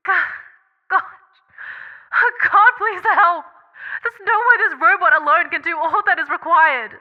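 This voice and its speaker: Woman as an anxious, high-voiced rescuer, slightly muffled. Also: measured pace. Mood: desperate. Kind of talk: scripted audio drama acting. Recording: dull, muffled lo-fi sound.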